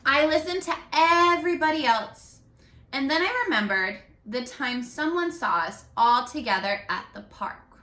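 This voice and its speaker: A woman reading a picture book aloud to young children in an expressive, instructive way, speaking to them directly as a teacher. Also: sits high, measured pace. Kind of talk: read-aloud for young children with questions to the listeners. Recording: echoey room, distant microphone.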